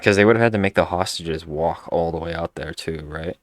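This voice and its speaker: A man's voice: low-pitched, fairly quick, no echo. A man giving casual, laid-back, slangy commentary as he plays a video game. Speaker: gamer, video host.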